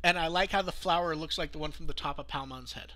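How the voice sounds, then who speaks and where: A man with a fairly high, very bright and forward voice hosts a podcast. He chats casually as he goes through news items. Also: fast talker. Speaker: podcast host.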